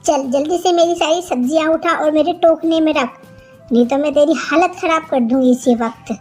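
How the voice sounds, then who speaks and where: A woman's voice: high voice, normal speed, darkish tone, faint music behind, close to an everyday voice. A woman voicing a feisty female sparrow in a kids' cartoon, with an emphatic, singsong, scolding manner. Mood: angry, scolding. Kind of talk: Hindi kids' cartoon character voice.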